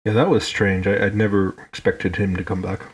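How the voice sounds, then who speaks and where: A man's voice: lowish voice, level tone, brisk, husky. A man with a Finnish accent who grew up in Hong Kong, a guest on a podcast chatting casually and joking, often restarting his words. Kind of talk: casual chat.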